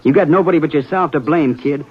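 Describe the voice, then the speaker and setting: An actor playing a cocky ranch hand who mocks a greenhorn in a 1950s radio western, with a taunting drawl. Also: male voice, on the high side, fast, slightly rough. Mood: scornful. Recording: dull old radio broadcast.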